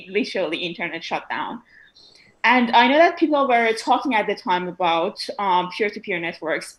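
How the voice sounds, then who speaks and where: A woman's voice: on the low side, fairly bright tone, low-quality recording. A woman from Iran, an attendee in an online meetup, asking a question with many fillers and restarts over a video call. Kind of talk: casual question in an online meeting.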